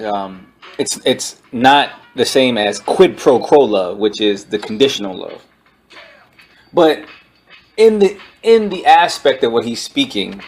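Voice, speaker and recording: A man giving an informal spoken book review, explaining his points in a halting way over background music. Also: medium pitch, vocal fry.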